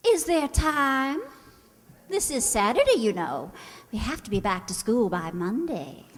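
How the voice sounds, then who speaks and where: A woman's voice: on the high side, big pitch swings, measured pace. An actress playing an overbearing, condescending female teacher in a comic radio play. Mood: haughty, catty. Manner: affected, condescending. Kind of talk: comic old-time radio sitcom acting. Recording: noisy echoey hall, long reverb.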